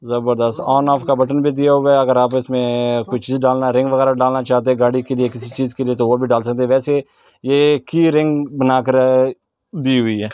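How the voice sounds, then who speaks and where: A man with a Pakistani accent speaks at a middling pitch as he shows products in a small indoor shop. He explains features in a casual, approving way and checks details with questions. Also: level tone, moderate pace, a little mellow, clean tone, no room sound. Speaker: vlogger presenting products in a market shop.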